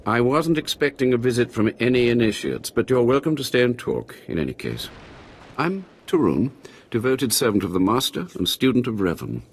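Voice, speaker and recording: An actor playing a devout, shrewd cult scholar in a video game, measured and quietly conspiratorial as he persuades. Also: mid-range voice, sing-song, a little hiss or hum. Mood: welcoming, dismissive. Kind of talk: video game cutscene acting.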